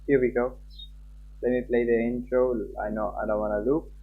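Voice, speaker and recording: A man's voice: mid-range voice, flat delivery, normal speed, phone-quality sound, slight background noise. A man streaming live, chatting casually with viewers and talking to himself as he fiddles with his stream settings.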